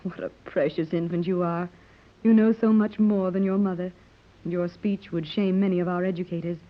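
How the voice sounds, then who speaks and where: A woman's voice: on the low side, fairly even intonation, moderate pace, lightly acted. A woman playing a tender, anxious wealthy American mother, earnest and melodramatic in 1930s radio serial style. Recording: muffled old 1930s radio recording.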